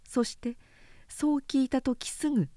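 A woman's voice: sits high, fairly even intonation, measured pace, dark tone, dry recording, clean studio sound. A woman reading a literary period story aloud as a scripted narration.

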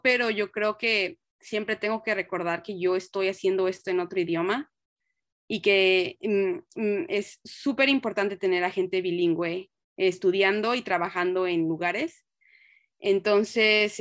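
A woman's voice: medium pitch, no echo. Young Mexican woman in a dry recording. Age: young adult. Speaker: interview guest, nurse and researcher. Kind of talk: conversational interview answers.

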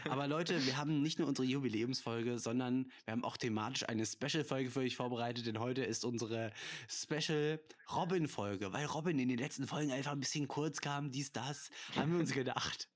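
Male podcast host, casual chat, jokey and rambling, quick to laugh. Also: mid-range voice.

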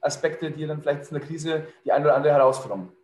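A man's voice: fairly high pitch, fast talker, darkish tone, roomy sound. A middle-aged man, a leadership consultant, talking fluently and in a businesslike way with some fillers. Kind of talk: conversational interview answer.

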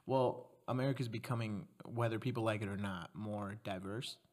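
A man's voice: on the low side, normal speed, darkish tone. A man from Mexico hosting a podcast interview, talking in a loose, rambling way, correcting himself and laughing often. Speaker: podcast host. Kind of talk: casual interview chat.

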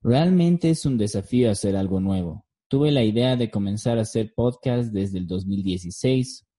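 A man in his thirties with a Bolivian accent, hosting a podcast solo and reading prepared narration in an earnest, deliberate manner. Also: fairly low pitch, warm tone. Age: 30s. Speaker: podcast host.

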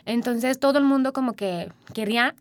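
A woman's voice: a little high, moderate pace, no room sound. A woman from Sri Lanka with a Sri Lankan accent, a native Sinhala speaker, answering as a radio guest in simple, careful Spanish. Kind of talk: radio interview.

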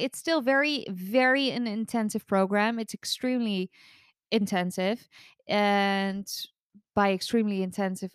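A woman's voice: mid-range voice, clean tone, no echo, clean studio sound. A nasal-voiced woman, hosting her own podcast in a chatty, rambling way with many restarts. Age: 30s. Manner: chatty, rambling with frequent restarts and self-corrections. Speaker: podcast host and recovery coach. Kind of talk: casual solo podcast chat.

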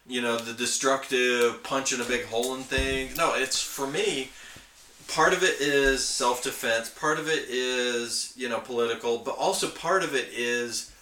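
A man's voice: mid-range voice, unhurried, bright, forceful tone, roomy sound. A man co-hosting a podcast, chatting casually and explaining technical details like a keen hobbyist. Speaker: podcast co-host.

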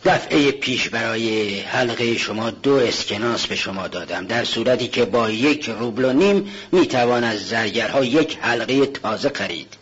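A man puts on a stern old widow who lends money, and she is curt and stingy in a storybook reading. She haggles in a dry, husky voice. The sound is dull and lo-fi. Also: medium pitch, hardly any pauses, a bit bright. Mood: reproachful. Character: greedy, stern old female widow pawnbroker. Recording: dull lo-fi recording.

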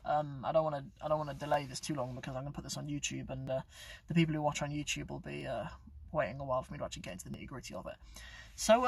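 A young man chats loosely to his viewers, joking and correcting himself mid-sentence. His voice sits a little high and slightly husky. It is recorded from a bit away from the mic, dry inside a car. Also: dry recording, far from the mic. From England. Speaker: YouTube channel host. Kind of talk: casual live-stream chat.

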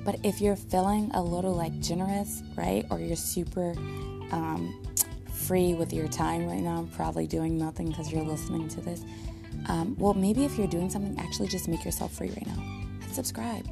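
A woman's voice: on the low side, steady tone, moderate pace, vocal fry, music in the background. A woman hosting a podcast casually, with an upbeat, motivational and informal way of talking. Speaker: podcast host.

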